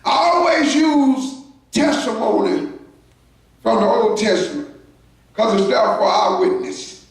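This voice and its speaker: High-voiced shouting preacher in an echoey church. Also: male voice, animated, takes it slowly, distant mic. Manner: preaching in shouted bursts with call-outs to the congregation. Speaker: pastor. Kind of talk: sermon. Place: church sanctuary.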